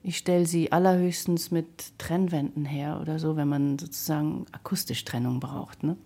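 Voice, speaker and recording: A woman's voice: low-pitched, fairly even intonation, moderate pace, dark tone. A woman, a composer and radio drama maker, explaining how she works in an interview.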